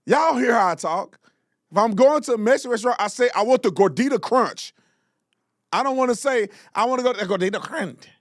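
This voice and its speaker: Animated mocking male commentary host. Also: extremely high pitch, a little fast, no echo, clean studio sound. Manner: mocking, exclaims loudly, slangy.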